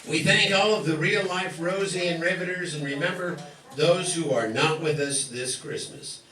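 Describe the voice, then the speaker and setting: A man's voice: a little high, moderate pace, sharp tone. A man giving scripted announcements as the presenter of a radio show. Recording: echoey room, distant mic, faint music.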